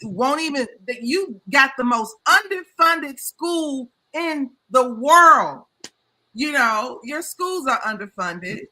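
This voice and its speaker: A woman's voice: sits high, lively intonation, slow pace, bright, forceful tone, low-quality recording. A woman talking passionately in a casual discussion, getting heated as she makes her points. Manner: passionate, impassioned.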